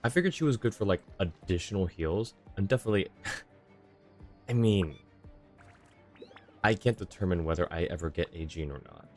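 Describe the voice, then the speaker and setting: A man's voice: deep voice, animated, moderate pace, pauses often, a little mellow. A man streaming a video game, chatting casually and muttering reactions as he plays. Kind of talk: casual live gameplay commentary. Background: game music.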